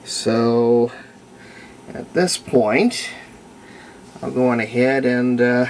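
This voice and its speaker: A man with a gravelly, fry-edged voice talks through a hands-on hobby demonstration. He is casual and unhurried, thinking aloud as he goes. There is faint noise behind him on what sounds like a simple camera recording. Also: medium pitch, steady tone, darkish tone. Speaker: hobbyist restorer of antique radios, presenter. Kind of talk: casual hands-on demonstration, unscripted running commentary.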